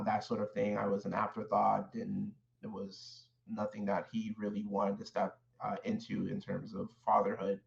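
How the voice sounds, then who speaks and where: Gravelly low-voiced man telling his story. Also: reverberant, highs cut off. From Haiti. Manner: measured, thoughtful, with many fillers and restarts. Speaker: guest, clinical social worker. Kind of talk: reflective personal storytelling.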